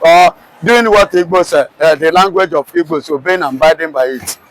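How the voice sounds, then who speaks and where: A man's voice: on the high side, moderate pace. A man with a Nigerian accent, arguing passionately in a street interview with many rhetorical questions and restarts.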